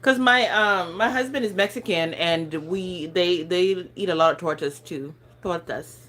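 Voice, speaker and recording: A woman's voice: lowish voice, a little slow, fairly bright tone. A woman in her thirties with a non-native accent, chatting casually on a phone livestream, rambling, laughing and stumbling over words. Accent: non-native English accent. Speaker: lifestyle channel host chatting on a livestream. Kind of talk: casual live chat reading viewer comments.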